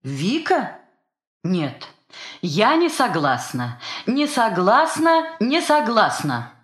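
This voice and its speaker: Stern mother type: slow, clear, insistent, lively intonation. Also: medium pitch, echoey room. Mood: objecting. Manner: emphatic, insistent. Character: stern mother objecting to her son's marriage. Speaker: actor in a language course dialogue. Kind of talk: slow scripted dialogue for learners.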